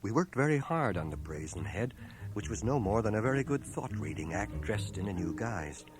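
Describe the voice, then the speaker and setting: An actor playing a reflective middle-aged scholar who narrates a radio drama in a measured, dry, literate way. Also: male voice, fairly low pitch, very animated, soft background music. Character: reflective fifty-year-old male scholar recounting his adventures. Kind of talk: scripted first-person radio drama narration. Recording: old radio cassette transfer.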